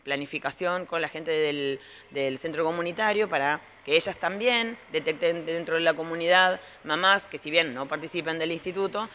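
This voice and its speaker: A middle-aged woman with an Argentine accent answering interview questions as a school director, explaining things in a halting way as she rephrases. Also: deep voice, fairly bright tone, a little hiss or hum. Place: large indoor playroom. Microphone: handheld reporter microphone.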